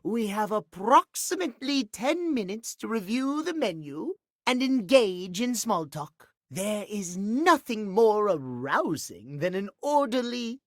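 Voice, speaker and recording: A prim, swooping, schedule-obsessed dandy clock. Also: male voice, sits high, sing-song, measured pace, few pauses, dry recording, clean studio sound, full character voice. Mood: flirtatious, prim. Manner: formal, theatrical, precise diction. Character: fussy, prim, schedule-obsessed male timepiece, romantic dandy. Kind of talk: video game character acting.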